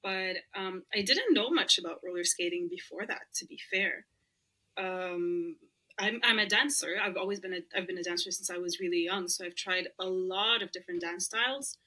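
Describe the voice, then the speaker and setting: A young woman with a bright, clear voice. Her is Arabic, but she talks easily in English. She is chatty and laughs often as a podcast guest. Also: fairly low pitch, moderate pace, low-quality recording. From Lebanon. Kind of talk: casual interview chat.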